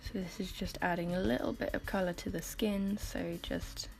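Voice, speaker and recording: A woman with a croaky just-woke-up voice, giving a chatty, informal voiceover as an artist explaining her process. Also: lowish voice, fairly even intonation, moderate pace. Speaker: artist making painting process videos. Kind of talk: casual voiceover commentary. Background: music.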